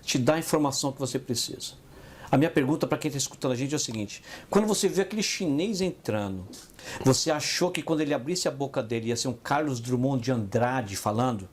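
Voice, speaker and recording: A Brazilian man co-hosting a casual business podcast, telling stories in an animated way and acting out the voices of the people he quotes. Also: mid-range voice, quick pace, a little hiss or hum. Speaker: podcast co-host.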